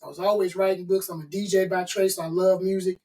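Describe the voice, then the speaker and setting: A man's voice: sits high, flat delivery, fast, dark tone, recorded from a distance. A middle-aged man from Chicago, a consultant and author being interviewed, talking in an emphatic, motivational way and stacking points in lists. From Chicago, USA. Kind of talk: interview conversation.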